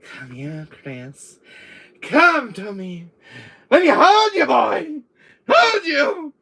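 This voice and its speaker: A man voicing a flirty, clingy feminine villain alter ego in a campy, cooing, drawn-out way. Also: extremely high pitch, very animated, gravelly, pushed and bright, voice pushed up, exaggerated voice. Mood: clingy, demanding.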